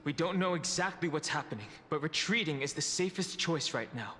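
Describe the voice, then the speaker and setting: A man voicing a serious, level-headed young adventurer in a video game, speaking in a measured way. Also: a little high, animated, pushed and bright. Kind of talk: English game voice acting.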